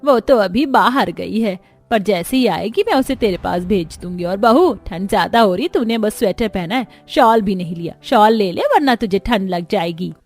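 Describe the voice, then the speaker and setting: A woman's voice: medium pitch, lively intonation, normal speed, few pauses. Middle-aged saas for a family cartoon: motherly, caring, a bit bossy, music behind. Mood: concerned. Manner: motherly, instructing. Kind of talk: Hindi cartoon family-drama voice acting.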